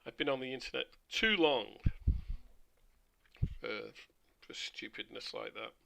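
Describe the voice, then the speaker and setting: A man streaming a flight simulator, chatting casually with rambling asides, his voice a little hoarse. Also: mid-range voice, unhurried, bright, forceful tone, a little hiss or hum. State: slightly hoarse. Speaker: flight sim livestreamer. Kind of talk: casual livestream commentary.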